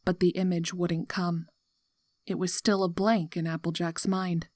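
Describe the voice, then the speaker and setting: A woman narrator reading a scripted horror story in a measured, dramatic way. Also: lowish voice, little pitch movement, a little mellow, no echo.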